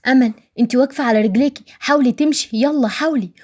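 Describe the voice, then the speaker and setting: A woman's voice: sits high, steady tone, rapid-fire, pitched above the performer's own voice, close to an everyday voice. A woman voicing a lovesick, anxious young wife in an audio story, pleading urgently with an Egyptian accent. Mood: urging.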